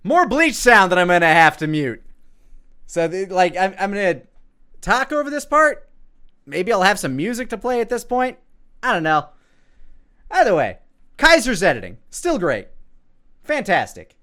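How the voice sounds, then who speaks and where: A man's voice: high voice, big pitch swings, moderate pace, long pauses, sharp tone. A man in his thirties giving casual reaction commentary as host, rambling with joking, self-deprecating asides. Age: 30s. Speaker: host commenting on own abridged anime series.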